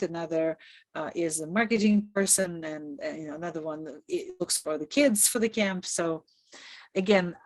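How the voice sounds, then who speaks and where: A woman's voice: lowish voice, dry recording. A woman from Kazakhstan, a native Russian speaker, giving an upbeat, conversational information session as a returned volunteer over a video call. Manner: conversational, upbeat, laughs often. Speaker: Peace Corps presenter and returned volunteer. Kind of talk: informational presentation.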